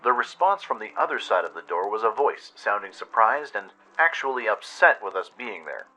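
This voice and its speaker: Forceful, bright male story narrator. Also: on the low side, sing-song, normal speed, poor audio. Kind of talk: first-person scripted story narration, radio play. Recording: music bed under produced voice-over. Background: music.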